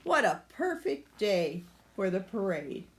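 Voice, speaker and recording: A woman's voice: medium pitch, sing-song, slow. An older woman reading a children's story aloud, with asides to her young listeners. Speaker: storybook reader.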